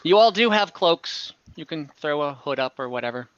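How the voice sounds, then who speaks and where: A man's voice: fairly high pitch, expressive, normal speed, smooth, no echo, dull audio. A man running a tabletop game as game master, explaining the world in a conversational, improvised narration over an online call.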